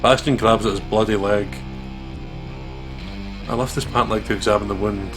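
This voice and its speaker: Lowish-voiced man narrating a visual novel. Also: fairly even intonation, moderate pace, over music. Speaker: let's play host narrating.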